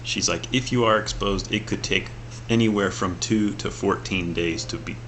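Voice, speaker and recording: A man with a deep, clear voice chatting casually before a tabletop game, laughing easily as he tells stories. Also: moderate pace, fairly bright tone, slight background noise, rough recording. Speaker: player. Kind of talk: casual pre-game chat.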